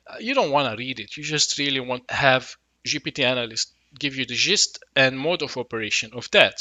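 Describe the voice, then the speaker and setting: Bright, gravelly man demoing software. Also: medium pitch, no room sound. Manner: casual explanatory, thinks aloud with fillers. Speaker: tech tutorial host. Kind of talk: screen-recorded software walkthrough. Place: small room indoors. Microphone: headset.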